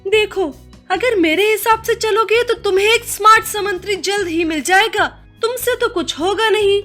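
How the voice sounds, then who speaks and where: Casting: female actor as clever cartoon tigress queen, very high voice, commanding and quick to scold, music underneath. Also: fairly bright tone. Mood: sarcastic, insistent. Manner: sarcastic, commanding. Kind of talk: animated animal fable dialogue.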